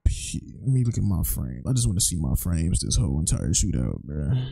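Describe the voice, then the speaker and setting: A man's voice: low-pitched, big pitch swings, brisk, creaky, very noisy. A man chatting casually over gameplay as a streamer, with slangy, profane, blunt talk. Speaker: game streamer. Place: indoors at a computer, livestreaming.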